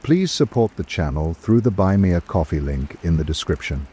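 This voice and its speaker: A man hosting and narrating in a scripted old-time radio drama style, with dramatic, measured delivery. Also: low voice, very animated, warm tone, slight background noise.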